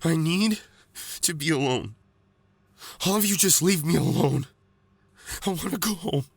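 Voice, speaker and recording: A man playing an anguished, guilt-ridden young hero in an audio drama, with a husky, gravelly, halting and emotional delivery. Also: on the high side, animated, heavily acted. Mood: anguished, angry.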